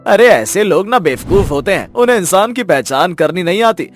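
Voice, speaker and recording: A man voicing an earnest, warm-hearted middle-aged father persuading his friend, with music behind. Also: high voice, very animated, moderate pace.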